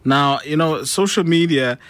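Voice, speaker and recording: A man's voice: on the high side, a little slow, a bit bright, no echo. A man hosting a radio interview, asking questions in a hesitant way with many fillers.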